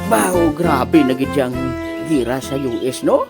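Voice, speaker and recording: A man's voice: mid-range voice, expressive, a little slow, loud music behind the voice. A man playing a chatty, teasing, exclamatory village man in a comedy audio drama. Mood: grumbling.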